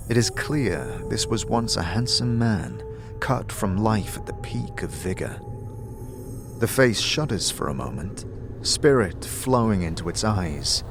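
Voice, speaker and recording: A man with a fairly low voice narrates dark fantasy over a clear music bed. He takes his time, pacing each phrase slowly and deliberately. The ends of his lines often drop to a hush. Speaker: narrator and game master. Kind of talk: dramatic second-person fantasy narration.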